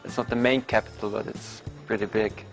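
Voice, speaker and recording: A young man in his twenties with a Swiss German accent, answering interview questions about his soccer career in halting English full of "like". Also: on the low side, fairly even intonation, soft background music, poor audio. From Switzerland. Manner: halting non-native English with filler "like". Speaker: professional soccer player. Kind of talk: casual interview.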